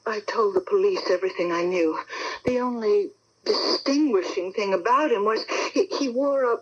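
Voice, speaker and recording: A woman playing a grieving, haunted wife in a 1970s radio drama, speaking deliberately on a dull AM broadcast. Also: mid-range voice, lightly acted. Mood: troubled, insistent. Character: grieving, haunted wife recounting her husband's disappearance.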